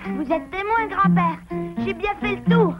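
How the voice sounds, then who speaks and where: A very high, eager young beaver boy, earnest and excitable. His lines come from a dull, lo-fi cartoon soundtrack. Loud music plays under him. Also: a little slow, exaggerated voice. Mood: polite. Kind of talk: dubbed cartoon acting.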